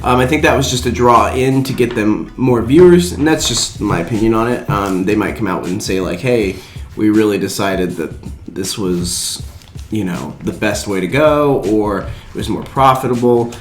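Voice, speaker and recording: A man's voice: lowish voice, normal speed. Casual male podcast co-host, slightly echoey. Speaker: movie news podcast co-host. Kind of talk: casual chat. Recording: slightly echoey room, music underneath. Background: background music.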